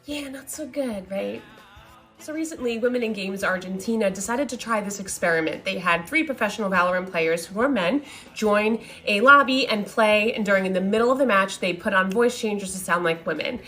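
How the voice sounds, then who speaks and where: A woman's voice: medium pitch, a little fast, fairly bright tone, soft background music. A woman presenting conversational commentary, with wry asides and rhetorical questions.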